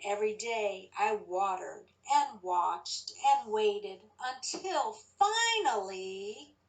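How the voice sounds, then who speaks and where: Slow-paced woman reading to children. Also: medium pitch, sing-song, rough recording. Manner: slow, deliberate, addressing children with questions. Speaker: children's story reader and teacher. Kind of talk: picture book read-aloud and lesson for young children.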